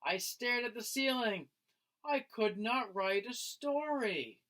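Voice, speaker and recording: A man reading a children's picture book aloud like a teacher, in an expressive, dramatic manner. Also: very high voice, takes it slowly, low-quality recording. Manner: dramatic, acting out the lines.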